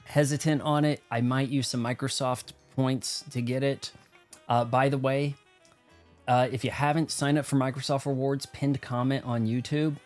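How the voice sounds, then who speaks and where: A man's voice: medium pitch, little pitch movement, moderate pace, a little mellow, soft background music. An American man hosting a video game livestream, talking casually in a rambling, conversational way. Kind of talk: casual gaming stream chat and commentary.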